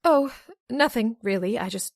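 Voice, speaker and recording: A woman's voice: a little high, expressive, normal speed, creaky, no echo, studio quality. A woman playing a frightened, distrustful heroine in an old-time radio horror drama, with anxious, dramatic delivery. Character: frightened, distrustful woman under threat in a thriller. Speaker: actress in an audio drama.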